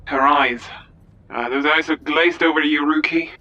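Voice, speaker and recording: A woman playing a brusque, bright-voiced cop. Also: sits low, steady tone, moderate pace, highs cut off. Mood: commanding. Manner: commanding, barking orders. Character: brusque female police officer. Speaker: police officer.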